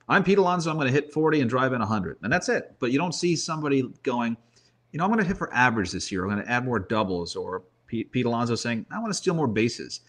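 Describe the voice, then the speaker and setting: A man's voice: mid-range voice, fairly bright tone. A man giving sports commentary in conversation, making his points emphatically and slipping into storytelling. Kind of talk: animated baseball talk.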